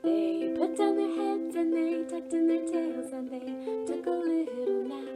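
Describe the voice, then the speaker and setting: A woman's voice: very high-pitched, lively intonation, unhurried, loud music behind the voice. A woman leading storytime as a children's librarian, expressively singing and reading to young children in simple language. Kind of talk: singsong children's songs and picture-book read-aloud.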